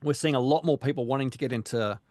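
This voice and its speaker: A man, a voice actor, talking in a casual interview, measured and hesitant with many pauses and restarts. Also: mid-range voice, darkish tone, dry recording. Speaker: voice actor guest.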